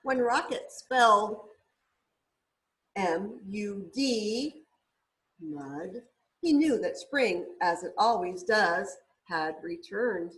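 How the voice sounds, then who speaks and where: A woman reads a children's story aloud like a teacher. Her pace is unhurried and deliberate, with careful sounding-out of words. Her voice sits at an average pitch for a woman. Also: animated, a bit bright, low-quality recording. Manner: deliberate, spells words out letter by letter.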